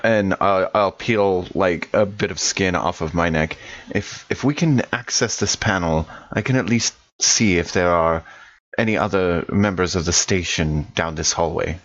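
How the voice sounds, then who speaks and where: A man playing a tabletop game, talking in a casual, deadpan way. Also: deep voice, unhurried. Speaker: player. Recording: dull lo-fi audio.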